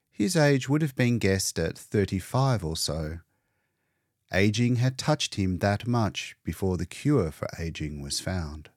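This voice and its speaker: A man narrating a scripted story as an audiobook reader, with deliberate, dramatic phrasing. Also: on the low side, a little mellow, no echo, clean studio sound.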